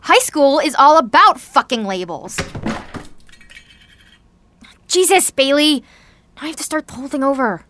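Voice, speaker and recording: A woman's voice: high-pitched, animated, unhurried, long pauses, a bit bright, a little hiss or hum. A woman playing a sarcastic, anxious seventeen-year-old supervillain, speaking theatrically in an audio drama monologue. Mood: defiant, theatrical.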